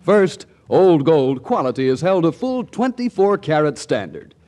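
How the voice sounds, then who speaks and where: A man announcing and pitching cigarettes in 1940s radio style, with a folksy comic delivery. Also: very animated, measured pace, mellow. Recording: old 1940s radio broadcast.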